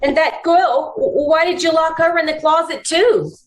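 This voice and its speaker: An anxious young woman in trouble from a 1940s radio play, acted by a woman. Her voice is high, earnest and hesitant, with clear echo around it. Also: moderate pace, slight background noise. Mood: anxious, confiding. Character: anxious, pleading young woman mixed up with crooks. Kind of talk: 1940s radio drama acting. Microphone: video call. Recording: echoey online-call recording.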